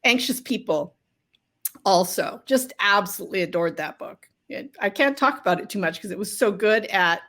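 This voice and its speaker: A middle-aged woman speaks as an interview guest in a casual, conversational style. Her pitch sits in the middle for a woman. She is effusive and openly enthusiastic as she talks. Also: animated, normal speed, a bit bright. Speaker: guest novelist and writing coach.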